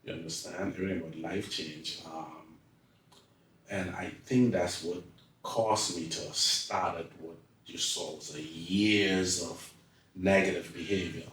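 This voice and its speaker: A man's voice: low-pitched, steady tone, unhurried, fairly bright tone. A man with a Turks and Caicos accent telling his life story as an interview guest, emphatic and often repeating his phrases. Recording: echoey room, distant mic.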